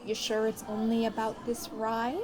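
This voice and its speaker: A woman's voice: fairly high pitch, monotone, moderate pace, crowd noise, natural voice. A woman playing a cool-headed, guarded teenage girl in audio drama dialogue.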